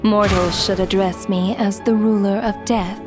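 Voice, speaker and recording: An imperious female spirit who rules over death voiced by a woman speaks in formal, condescending phrases to the mortals before her. It is dramatic video game acting in a mid-range voice, with clear music underneath. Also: mid-range voice, steady tone, normal speed, close to an everyday voice. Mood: imperious calm. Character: haughty female Ruler of Death, an otherworldly spirit. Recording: music behind the voice.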